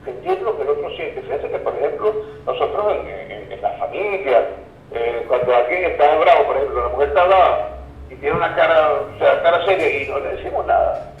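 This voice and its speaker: A roomy, slightly muffled recording with faint noise carries a Venezuelan man's mid-pitched voice. He talks as an interview guest, explaining concepts in a reflective, teacherly way and checking in with his listener. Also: animated, fairly quick, gravelly. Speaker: interview guest, academic expert. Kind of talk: explanatory interview talk with word origins and everyday examples. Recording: roomy echo, dull lo-fi sound, faint noise.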